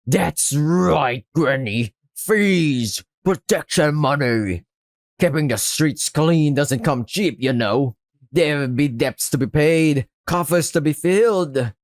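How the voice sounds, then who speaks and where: A man's voice: fairly high pitch, takes it slowly, dry recording, clean studio sound. A man voicing a blustering, menacing barracuda pirate gangster who talks in showy pirate speak with an unsteady accent. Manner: pirate talk with jumping accent and har-har laughs.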